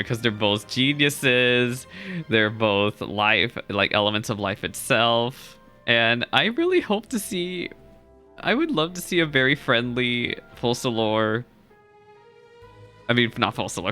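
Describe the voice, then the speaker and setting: Bright-voiced young man, casual reaction host. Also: mid-range voice. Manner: informal, rambling. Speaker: gaming reaction video host.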